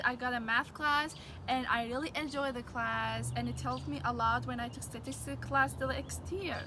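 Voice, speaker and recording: A high-pitched young woman in her late teens, Arabic her first language, gives earnest advice with a few restarts. She talks outdoors, and loud wind and passing cars rush under her voice. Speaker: vlogger. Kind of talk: casual advice vlog. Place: outdoors on a windy day. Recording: wind and traffic noise outdoors. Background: wind and passing vehicles.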